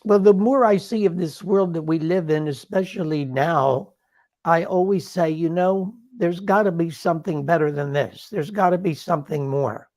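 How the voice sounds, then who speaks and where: A man in his sixties from the Philadelphia Main Line, co-hosting a casual live chat in a courtly, playfully flattering manner. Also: on the high side, moderate pace. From Philadelphia Main Line, USA. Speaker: co-host.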